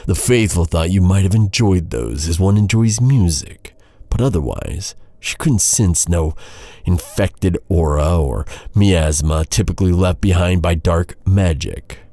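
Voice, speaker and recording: An actor playing an embittered, vengeful knight in a dark fantasy audio roleplay, brooding and intense, with halting dramatic delivery. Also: male voice, sits low, dry recording. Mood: grave, restrained. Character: vengeful, embittered male knight interrogating his captive enemy.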